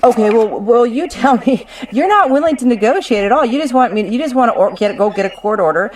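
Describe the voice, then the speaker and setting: A woman's voice: on the high side, animated, brisk, darkish tone. Argumentative woman, distant on a conference call. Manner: argumentative, insistent, combative. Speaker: claimant arguing her own legal case. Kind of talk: contentious legal phone discussion.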